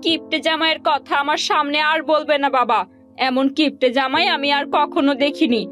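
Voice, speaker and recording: Indignant young cartoon wife, voiced by a woman: high pitch, nagging, plaintive, music underneath. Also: steady tone, fairly quick, natural voice. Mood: complaining. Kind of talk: Bengali cartoon voice acting.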